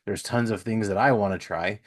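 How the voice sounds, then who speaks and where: A man with a fairly low voice hosts a casual interview podcast. He talks in an informal, chatty way, and the sound is dry and close, with little room in it. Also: a little fast, no echo. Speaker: podcast host.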